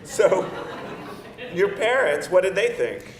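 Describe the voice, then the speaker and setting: A man's voice: sits high, very animated, takes it slowly, heavily acted. A man acting a teasing, incredulous young dinner companion at a live script read, in a conversational style. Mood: teasing, curious. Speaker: actor at a screenplay table read. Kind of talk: conversational comedy-drama dialogue. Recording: roomy, echoing live room.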